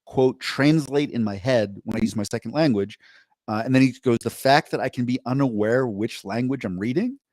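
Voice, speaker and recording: A man's voice: medium pitch, moderate pace, a little mellow, no room sound. A man co-hosting a podcast, chatting casually and reading posts aloud, rambling and correcting himself as he goes. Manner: rambling and self-correcting, upbeat. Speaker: podcast co-host.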